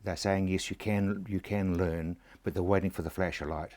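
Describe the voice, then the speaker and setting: A middle-aged New Zealand man hosts the conversation with a very low voice. He speaks in a reflective, plain-spoken way, drawing on his own experience. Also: fairly even intonation, normal speed, darkish tone. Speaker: host. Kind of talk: interview conversation.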